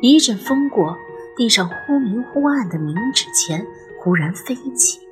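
A woman's voice: medium pitch, expressive, a little slow, faint music behind. A woman narrating a ghost story as a scripted, dramatic audio drama.

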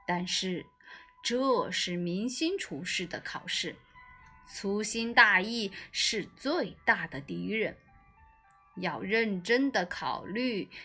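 A woman voicing a solemn examiner's letter in a children's story, measured and admonishing. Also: mid-range voice, bright, forceful tone, pitched below the performer's own voice, full character voice. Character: solemn, stern exam letter from master chefs.

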